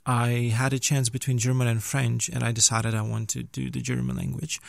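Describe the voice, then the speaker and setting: A man's voice: mid-range voice, little pitch movement, a little fast, vocal fry, no echo. A man in his twenties from western Ukraine, a native Ukrainian speaker, answering questions as an interview guest in a conversational way. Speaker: interview guest, language teacher and student.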